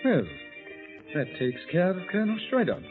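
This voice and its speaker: A ghoulish, mock-genteel host of a horror programme. His voice swoops animatedly with arch dark humour. It is a tinny 1940s radio recording with music behind it. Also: sits high, big pitch swings, normal speed. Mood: macabre glee. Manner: arch, darkly humorous, mock-polite. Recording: tinny old radio broadcast.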